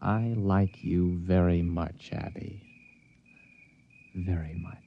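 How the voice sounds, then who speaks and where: A man's voice: low voice, fairly even intonation, slow pace, gravelly, full character voice. An actor playing a scheming, skeptical educated man in a radio drama, with an American accent and a stammering, insistent delivery. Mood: awkward, hushed. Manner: stammering, insistent, swings from hushed to exclaiming. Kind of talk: 1950s radio drama acting. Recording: dry, dull vintage radio recording.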